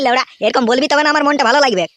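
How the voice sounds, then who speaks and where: Cartoon drunkard, acted: swaggering, touchy villager, crude, rural West Bengal accent, bright and fast-talking. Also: high voice, no echo. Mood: offended, boastful. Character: brash, boastful drunkard villager, easily offended. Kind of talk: comedy cartoon dubbing. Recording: music behind the voice.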